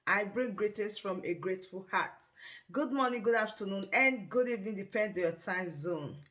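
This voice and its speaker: A woman's voice: mid-range voice, normal speed, a bit bright. A woman with a mid-range voice opens her channel's video. She greets viewers in a sing-song manner, lifting and stretching her emphasized words. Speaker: channel host.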